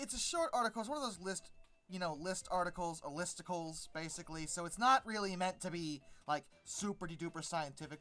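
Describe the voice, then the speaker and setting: Animated, exasperated woman commentator. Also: medium pitch. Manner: exasperated, emphatic, sarcastic; rambling, repeats words for emphasis. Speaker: video host and commentator. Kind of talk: casual unscripted commentary on an article.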